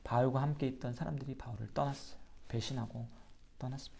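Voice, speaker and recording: A man's voice: medium pitch, fairly even intonation, fast, creaky, darkish tone. A man, a pastor, giving an earnest sermon-style Bible lecture and asking his listeners rhetorical questions. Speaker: pastor teaching a Bible class.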